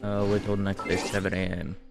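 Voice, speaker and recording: A man with a fairly low voice chats as he streams a game. His delivery is offhand and rambling, with game music faintly behind him. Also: flat delivery, fairly quick, vocal fry. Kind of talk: casual gameplay commentary.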